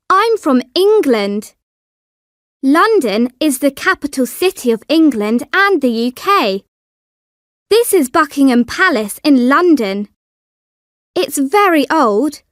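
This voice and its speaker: A woman's voice: high voice, fairly bright tone, dry recording, studio quality, heavily acted. A woman voicing a friendly girl from England in a scripted language course, enunciating with care. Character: friendly young English girl introducing her capital.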